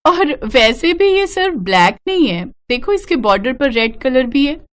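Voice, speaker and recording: Young modern bride for story cartoon, done by a female narrator: defiant, higher-pitched, animated. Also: unhurried. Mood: pleading. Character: young, modern, defiant new daughter-in-law.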